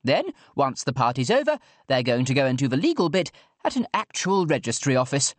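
A man voicing a jolly, brazen older woman with a posh English accent and a plummy, booming, blithely theatrical manner in an audiobook. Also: sing-song, a little fast, dry recording, close to an everyday voice. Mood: jolly, ceremonious. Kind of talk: audiobook character dialogue.

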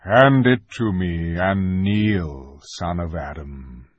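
A man voicing a noble, solemn great lion king in an audiobook, giving weighty, deliberate commands. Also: low-pitched, no echo, dull audio, pitched below the performer's own voice. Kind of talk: dramatic audiobook character voice.

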